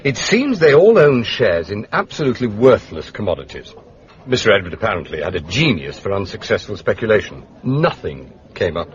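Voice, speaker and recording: An actor playing an urbane, shrewd gentleman detective in a British radio drama, with an upper-class English accent and polished, drily understated manner. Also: fairly low pitch, sing-song, fairly quick. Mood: dryly curious. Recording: muffled, dull radio drama recording.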